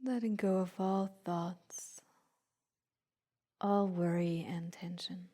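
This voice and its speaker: Soft, mellow woman guiding meditation. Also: on the low side, fairly even intonation. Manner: soothing, unhurried, gently instructing with long pauses. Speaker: guided meditation teacher. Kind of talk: slow guided meditation.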